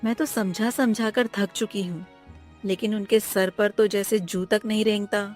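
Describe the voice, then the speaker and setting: A woman's voice: mid-range voice, fairly even intonation, moderate pace, darkish tone, soft background music, close to an everyday voice. A woman voicing a fed-up, hardworking young village wife who complains in an aggrieved way in a cartoon story. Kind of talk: Hindi cartoon moral story dialogue.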